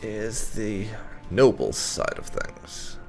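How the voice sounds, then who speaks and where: A man's voice: lowish voice, very animated, takes it slowly, gravelly, a little mellow, faint music behind. A man giving casual gameplay commentary with light roleplay, musing and murmuring to himself. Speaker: gaming channel host, player.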